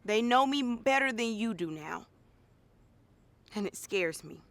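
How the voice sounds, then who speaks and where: A woman playing a wounded, quietly resentful girlfriend in a poetic, deliberate dramatic monologue. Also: fairly high pitch, lively intonation, dry recording. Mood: wounded, confessional. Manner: poetic, deliberate, emotional delivery. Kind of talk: dramatic spoken-word monologue. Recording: music playing behind.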